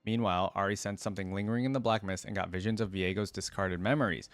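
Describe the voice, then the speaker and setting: A man's voice: sits low, steady tone, quick pace, no echo. A man hosting a reaction video, reading written lore aloud and breaking off for casual commentary. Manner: casual asides while reading.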